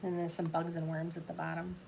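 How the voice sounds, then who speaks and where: A woman's voice: on the low side, completely flat delivery, a little fast, gravelly, background noise. A woman teacher reading a picture book aloud to children, expressively, with explanatory asides to her young listeners.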